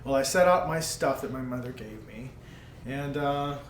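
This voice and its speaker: A man's voice: mid-range voice, normal speed. Man roleplaying in an echoey room. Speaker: tabletop game player. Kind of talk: in-character casual roleplay. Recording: roomy echo with background noise.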